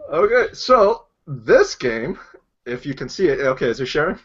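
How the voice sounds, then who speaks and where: A man's voice: on the high side, big pitch swings, moderate pace, husky. A man chatting casually as a guest on a stream, a little flustered and repeating himself. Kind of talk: casual chat. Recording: dull voice call audio.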